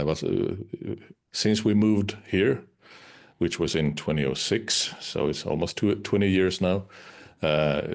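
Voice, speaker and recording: A man whose first language is Finnish answers interview questions in English. His voice sits extremely low, and he pauses often with uhs and restarts. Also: gravelly. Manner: hesitant, many uhs and restarts. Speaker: interviewed musician. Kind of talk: casual interview talk.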